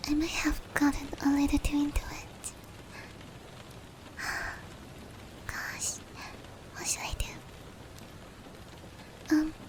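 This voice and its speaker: A woman voicing a female sleep paralysis demon in a breathy, whispered roleplay ASMR style, with hushed, close, drawn-out phrasing. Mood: apologetic, tender. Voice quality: breathy, whispery, high-pitched. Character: female sleep paralysis demon, teasing menace turning tender. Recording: steady rain noise behind voice.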